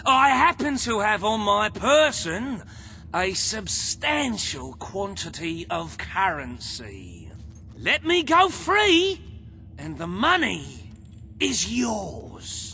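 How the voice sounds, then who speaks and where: An actor playing a pompous, scheming crime boss in a video game, with florid, over-enunciated, long-winded formal diction. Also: male voice, high-pitched, wildly swooping pitch, slow, pushed and bright, heavily acted. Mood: pleading, bargaining. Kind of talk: theatrical video game voice acting.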